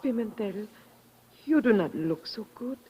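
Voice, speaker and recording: An actress playing an earnest, anxious young Latin woman, husky and intense, in 1950s radio drama style. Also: medium pitch, lively intonation, unhurried, a little hiss or hum, lightly acted. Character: earnest, anxious Latin woman seeking her brother's killer. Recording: muffled old radio recording with reverb.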